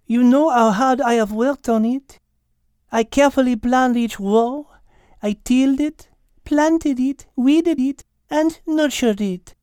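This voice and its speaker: A man's voice: very high-pitched, slow pace, darkish tone, smooth, no room sound, clean studio sound, voice pushed up. A man voicing a petite, intellectual female cat with a French accent, precise and composed, in an animal storybook. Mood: poised, refined. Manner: precise, refined, sprinkles in French words.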